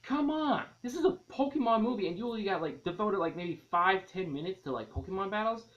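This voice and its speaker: A man's voice: sits high, animated, brisk, fairly bright tone, highs cut off. A man giving a casual, enthusiastic video movie review, rambling as he repeats and rephrases his points.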